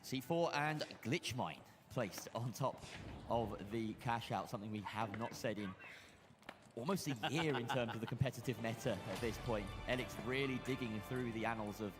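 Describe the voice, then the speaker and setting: A man giving live play-by-play commentary on an esports match, building to loud calls at the big plays. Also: mid-range voice, music in the background. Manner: fast, rising to loud shouts at big plays. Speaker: esports caster.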